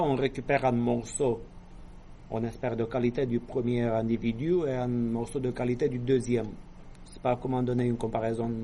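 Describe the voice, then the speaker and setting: A man's voice: mid-range voice, warm tone, slight background noise. A man giving a university lecture, explaining step by step with hesitations, apologetic asides and small jokes.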